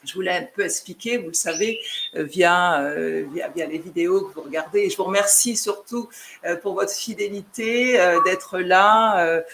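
A woman's voice: on the low side, moderate pace, vocal fry, background noise. A woman from France chatting on a live stream with her viewers, talking hesitantly with many fillers and restarts.

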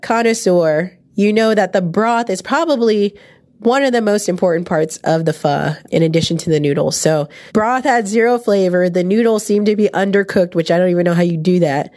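A woman from San Francisco reviewing restaurant dishes in casual chat, still recovering from the flu. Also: lowish voice. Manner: opinionated, animated storytelling. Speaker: food review co-host.

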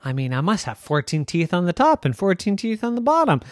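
A parent storyteller voicing a silly, greedy dad who has false teeth, in an excitable, hammy manner. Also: mid-range voice, a little fast, no echo, studio quality, voice pushed up, natural voice. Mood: scheming.

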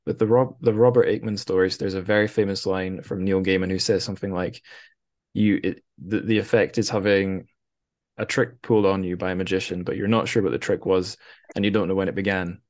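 A man's voice: deep voice, steady tone, fairly quick, a little mellow. A man hosting a casual book conversation, speaking informally and piecing his thoughts together as he goes. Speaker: podcast host. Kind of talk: casual conversational literary discussion.

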